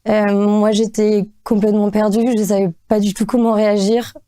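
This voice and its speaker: A young woman giving interview testimony, hesitant with frequent fillers and pauses. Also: medium pitch, level tone, mellow, clear, resonant tone.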